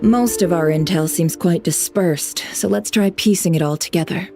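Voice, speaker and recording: A woman voicing a composed, shrewd intel broker in a video game, speaking in a formal, measured, coolly teasing way. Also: fairly low pitch, music in the background, natural voice. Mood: calm, businesslike. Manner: formal, measured, understated menace.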